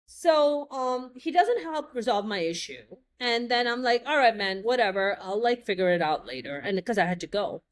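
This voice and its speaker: A young adult American woman telling stories in a casual chat, lively and blunt, joking and swearing freely. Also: fairly high pitch, moderate pace, few pauses. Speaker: guest.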